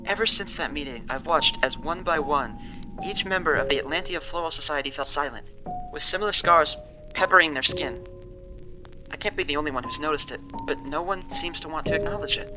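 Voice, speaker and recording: Man acting a shaken, higher-voiced man giving testimony. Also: fairly quick, over music, lightly acted. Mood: uneasy, haunted. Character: shaken, wary man recounting a frightening experience. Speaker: interviewee. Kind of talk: audio drama monologue, interview testimony. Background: musical score.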